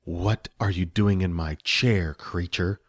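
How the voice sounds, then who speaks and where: Man doing a wicked sorceress, slow and spitting, commanding, dry close sound. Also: lowish voice, very animated, gravelly, darkish tone, breathy edge, no room sound, exaggerated voice. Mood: menacing. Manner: spitting, menacing, slow demanding. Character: cruel scowling sorceress, commanding woman.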